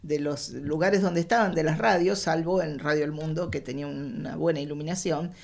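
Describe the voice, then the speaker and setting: A woman's voice: sits low, steady tone, brisk, vocal fry, a little mellow. An Argentine woman telling stories about the history of radio in a conversational interview.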